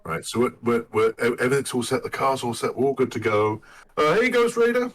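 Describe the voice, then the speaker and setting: A man co-hosting a casual chat about being a dad, telling funny stories in an animated way. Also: medium pitch, fairly quick. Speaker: podcast co-host. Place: lives in Michigan.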